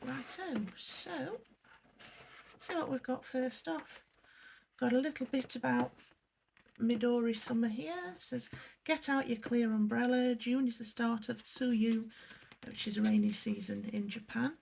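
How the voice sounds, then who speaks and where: A woman's voice: fairly high pitch, a little slow, gravelly, a little mellow, a little hiss or hum. An English woman chatting casually as she unboxes a snack box, reading the product blurbs aloud and apologising for her pronunciation. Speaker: unboxing vlogger. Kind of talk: casual chat while unboxing.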